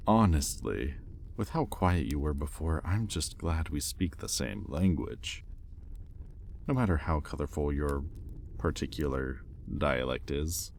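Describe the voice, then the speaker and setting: An extremely low-pitched male demon, acted by a man, speaks in an intimate roleplay style. He is a teasing, sardonic captor, arrogant and curious, talking conversationally over faint background noise. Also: animated, a little slow, vocal fry, darkish tone, exaggerated voice. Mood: amused, teasing. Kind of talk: intimate audio roleplay acting.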